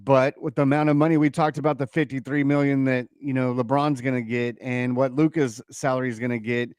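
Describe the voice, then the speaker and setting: Clear-voiced male sports radio co-host. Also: medium pitch, fairly even intonation, brisk, darkish tone, dry recording. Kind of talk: casual sports discussion. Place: radio studio.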